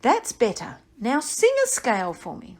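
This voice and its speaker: A woman's voice: on the high side, wildly swooping pitch, measured pace, husky, heavily acted. A woman voicing a tiny, kind but firm worm in a children's story. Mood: kindly firm. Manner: small, gentle, firm.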